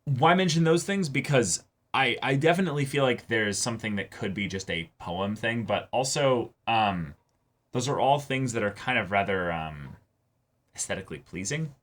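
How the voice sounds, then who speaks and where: A man co-hosting a casual anime reaction discussion, talking in animated swings between excited highs and low mumbles. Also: moderate pace.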